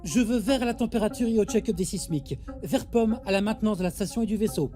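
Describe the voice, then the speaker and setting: A woman's voice: medium pitch, fast, music in the background, close to an everyday voice. A woman playing a bossy, impatient spaceship captain giving commanding orders in an audio drama. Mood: brisk, commanding.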